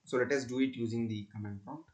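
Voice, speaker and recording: Man narrating a halting step-by-step tutorial. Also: mid-range voice, fairly even intonation, slight background noise.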